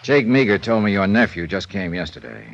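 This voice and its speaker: A man's voice: sits low, very animated, fairly quick, gravelly, heavily acted. A male actor with an American accent playing a cool, probing insurance investigator in a vintage radio noir drama. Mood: quietly pressing. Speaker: lead actor of radio detective series. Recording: muffled vintage radio recording, music behind.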